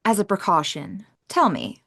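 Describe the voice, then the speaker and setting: A woman's voice: medium pitch, very animated, normal speed, creaky, fairly bright tone, heavily acted. A woman playing a serious, methodical investigator in an audio theater mystery, with a clinical, exact manner. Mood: clinical, intent. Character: methodical, serious female investigator with forensic skills.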